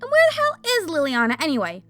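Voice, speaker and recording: A very high-pitched, bright-edged young woman, played by an actress, hot-tempered and impatient. She is a blunt fire mage in an audio drama, demanding and agitated. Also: huge pitch swings, fast, faint music behind, full character voice. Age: young adult.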